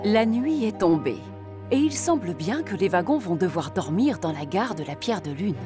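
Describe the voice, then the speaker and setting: A woman's voice: medium pitch, sing-song, normal speed, music in the background. A woman narrating a French cartoon episode in a storytelling manner.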